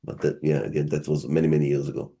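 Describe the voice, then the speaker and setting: A middle-aged man with an Israeli accent, speaking as a guest on a panel and explaining things in a measured way with halting fillers. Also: rumbling low, level tone, warm tone. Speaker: guest, science fiction writer. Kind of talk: panel discussion.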